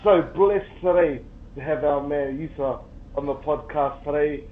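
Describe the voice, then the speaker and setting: A man's voice: on the high side, unhurried. A man hosting a casual podcast, upbeat and friendly, calling his guest bro and brother. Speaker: podcast host. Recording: dull lo-fi sound with faint noise.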